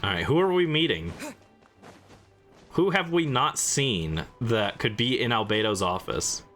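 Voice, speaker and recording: A man livestreaming a video game, giving casual commentary and halting explanations as he reacts aloud. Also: medium pitch, big pitch swings, a bit bright, soft background music.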